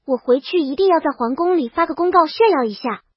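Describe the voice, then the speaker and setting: A woman's voice: sits high, animated, fast talker, no echo, highs cut off. A woman narrating a comedic story in the first person, in storybook style. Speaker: audiobook narrator.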